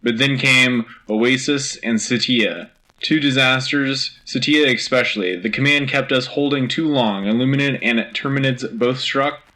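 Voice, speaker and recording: Man acting as earnest sci-fi radio war anchor, bright forceful voice, dramatic, punchy scripted delivery. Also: mid-range voice, moderate pace, lightly acted. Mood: grave, urgent. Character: earnest rousing male wartime radio anchor. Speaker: radio news host.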